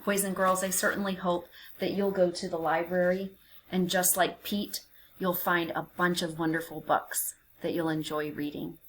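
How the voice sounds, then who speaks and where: A woman narrating a picture book for young children, expressive and deliberate, with dramatic emphasis and hushed phrases. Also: lowish voice, slight background noise, poor audio. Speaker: children's read-aloud narrator.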